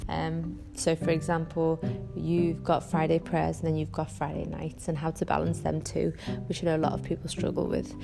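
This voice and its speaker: A woman with a Scouse accent hosting a casual solo podcast, rambling and chatty with many ums and quick self-corrections. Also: low voice, monotone, vocal fry, over music. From Northwest England. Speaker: podcast host.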